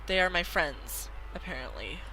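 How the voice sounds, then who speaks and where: Bright-voiced woman reading a game aloud. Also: lowish voice, lively intonation, slight background noise, rough recording. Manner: halting read-aloud with stumbles and self-corrections. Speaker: gaming video host. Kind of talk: reading a visual novel aloud in a playthrough.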